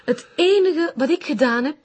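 An actress playing a defiant, evasive suspect with a husky voice in a TV crime drama. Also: female voice, sits high, lively intonation, normal speed, a little mellow. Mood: defiant, defensive. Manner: defiant, dismissive. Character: defiant, evasive female suspect under police questioning.

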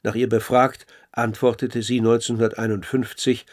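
Documentary radio narrator, male, average pitch, measured and sober scripted delivery. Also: little pitch movement.

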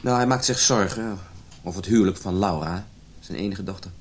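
An actor playing a dutiful, persuasive husband in conversational Dutch radio play style. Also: male voice, sits low, brisk. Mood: explaining. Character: dutiful, persuasive husband, loyal to his club friends.

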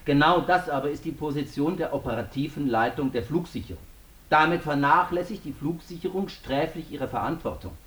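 An actor playing a stern, insistent courtroom examiner in a German radio drama, formal and probing. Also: male voice, fairly high pitch, lively intonation, brisk, fairly bright tone, reverberant. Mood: stern, accusing.

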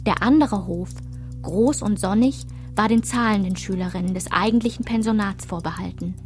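A woman's voice: mid-range voice, normal speed, darkish tone, natural voice. A woman reading scripted literary narration as the narrator of a radio play. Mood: measured. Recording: music behind the voice.

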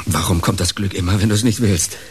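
An actor playing a furtive, muttering man in a radio drama, speaking haltingly. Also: deep voice, fairly even intonation. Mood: rueful. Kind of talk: German radio drama acting. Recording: loud noise and clicks.

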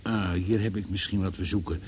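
A man's voice: deep voice, steady tone, brisk, a little mellow, natural voice. Actor as stern, sceptical male magistrate, hissy recording. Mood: dry, businesslike. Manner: formal, insistent questioning. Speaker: examining magistrate. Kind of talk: Dutch radio play acting. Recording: noisy old radio play recording.

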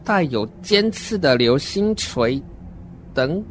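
An adult playing a slow, earnest seven-year-old child. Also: medium pitch, expressive, a little hiss or hum. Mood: uneasy, earnest. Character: seven-year-old child narrator, naive, wordy, uneasy. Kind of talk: horror radio drama monologue, scripted narration.

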